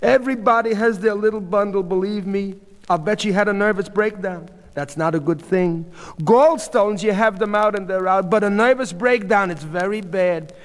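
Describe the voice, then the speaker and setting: A man's voice: sits high, moderate pace, voice pushed up, lightly acted. A man voicing a gossipy older woman neighbour in a story, inquisitive and opinionated, with folksy phrasing. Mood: pitying, knowing. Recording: long hall reverb.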